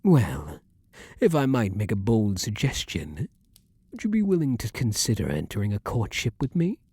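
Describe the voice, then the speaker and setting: A man performs a vampire king in an intimate ASMR roleplay, with a low, mellow voice recorded close and dry. He speaks in formal, archaic courtly phrases, measured and tender toward a former enemy. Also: huge pitch swings, husky, dry recording, exaggerated voice. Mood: tender, earnest.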